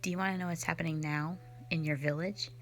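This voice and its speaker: A woman's voice: low voice, fairly even intonation, fast talker, a little hiss or hum. A woman voicing a cold, sardonic female slayer who is not human, talking in a dismissive, mocking, blunt way in an audio roleplay drama. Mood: scornful.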